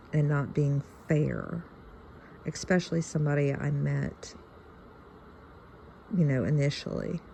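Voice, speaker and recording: A woman in her fifties with a Southern US accent, chatting reflectively and haltingly to her viewers. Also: low voice, gravelly, warm tone, slight background noise, low-quality recording. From Louisiana, United States. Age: fifty-one. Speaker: video channel host. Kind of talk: casual reflective chat to viewers.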